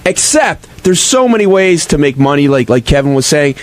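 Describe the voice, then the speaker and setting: A man's voice: fairly high pitch, sing-song, normal speed. A man joking bluntly and casually in talk show banter.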